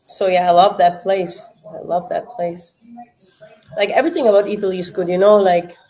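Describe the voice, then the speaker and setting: A young woman whose native tongue is German talks in relaxed, rambling chat as she hosts a live watch party. Her English carries a clear German accent and she leans on fillers as she answers her viewers. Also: lowish voice, normal speed, rough recording. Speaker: livestream watch-party host. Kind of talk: casual livestream chat.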